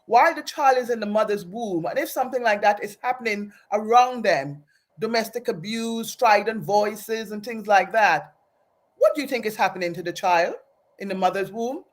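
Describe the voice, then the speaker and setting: Woman, earnest, reflective, restarts phrases, interview guest, mid-pitched voice. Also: lively intonation, moderate pace. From Aruba, raised in Trinidad. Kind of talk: personal storytelling in an interview.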